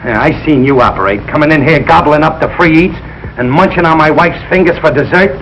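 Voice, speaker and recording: An actor playing a blustering, good-hearted Brooklyn working-class husband, blunt and slangy, in old broadcast sitcom style. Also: male voice, a little high, brisk, a bit bright, dull audio. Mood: angry, blustering. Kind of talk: 1940s-50s broadcast sitcom acting. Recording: noisy old broadcast recording.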